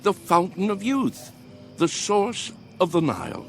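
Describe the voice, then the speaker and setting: A man's voice: sits high, huge pitch swings, a little slow, creaky, soft background music, full character voice. An actor in a radio drama playing a spirited old man, earnest, dreamy and pleading as he urges his friends to feel young. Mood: urgent, excited.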